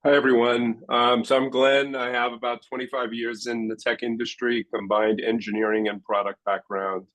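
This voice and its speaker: A man in his forties, a founder speaking on a conference panel in a measured, articulate way. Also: medium pitch, level tone, a bit bright, poor audio. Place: conference stage.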